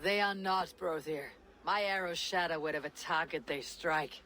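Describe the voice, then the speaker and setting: An actor playing a proud, cocky Viking raider in a video game, confident and drily taunting. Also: male voice, fairly high pitch, moderate pace, pushed and bright. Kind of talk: video game character acting. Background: ambient noise.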